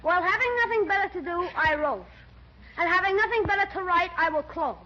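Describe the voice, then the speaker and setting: Very high, cheeky schoolboy. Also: male voice, brisk, pushed and bright, heavily acted. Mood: deadpan, cheeky. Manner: brash, declamatory, boyish. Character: cheeky, wisecracking young schoolboy, eager and brash. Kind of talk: 1940s radio comedy acting. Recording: muffled, noisy 1940s radio recording.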